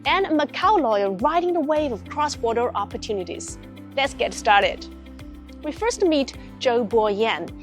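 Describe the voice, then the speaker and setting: A woman hosts a magazine-style show with a breezy, upbeat delivery, tossing in casual slang as she introduces each segment. Her voice sits a little high. Faint music plays underneath. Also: animated, unhurried. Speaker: host.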